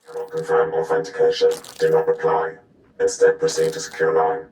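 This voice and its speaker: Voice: fairly quick, darkish tone, echoey room, slight background noise. An actor voicing a cold mechanical comm system relaying encrypted orders in clipped, formal phrases, with robotic processing. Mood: flat, procedural.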